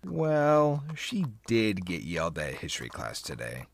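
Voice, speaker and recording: A man's voice: deep voice, wildly swooping pitch, measured pace, creaky, exaggerated voice. A man voicing a wry, bookish teenage male pony in a fan audio drama. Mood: matter-of-fact. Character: dry, wordy teenage male pony friend. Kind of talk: animated-fandom audio drama acting.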